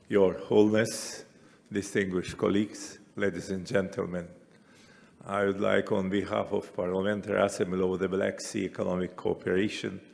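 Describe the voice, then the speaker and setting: A man giving a formal ceremonial speech as a delegate, in deliberate, broken phrases with non-native grammar. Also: deep voice, vocal fry, a little mellow, in a large hall. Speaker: parliamentary assembly delegate. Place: large assembly hall. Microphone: podium microphone.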